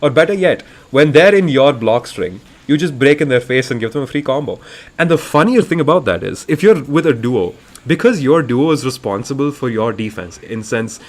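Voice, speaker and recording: Excitable man calling a fighting game. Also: mid-range voice, normal speed. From India. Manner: excitable, rambling, jumps between hype and quiet asides. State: voice getting raspy. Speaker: esports commentator. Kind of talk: live fighting game commentary.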